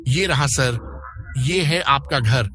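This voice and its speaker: A man's voice: mid-range voice, slow pace, over music, close to an everyday voice. A man playing a middle-aged, obliging village caretaker in a horror story, talkative and deferential, repeating his words. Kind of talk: acted audio horror story dialogue.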